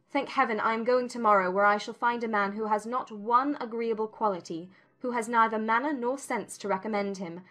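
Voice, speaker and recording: A woman voicing a witty, defiant young gentlewoman in a dramatized classic novel, speaking in arch, formal, exclamatory phrases. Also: a little high, voice pushed up, natural voice. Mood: exasperated, sardonic.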